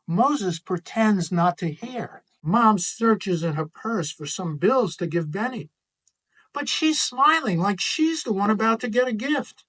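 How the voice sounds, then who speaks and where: A man narrates a children's picture book in an expressive read-aloud style. His voice sits fairly high for a man, and he takes his time over the phrases. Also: unhurried, poor audio. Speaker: author reading own picture book.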